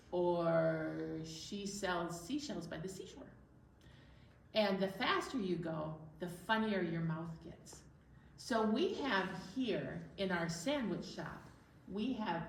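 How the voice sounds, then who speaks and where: Slow-talking woman in a roomy, distant recording. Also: medium pitch. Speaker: children's storytime host. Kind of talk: playful instruction for young children. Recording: roomy, distant mic with background noise.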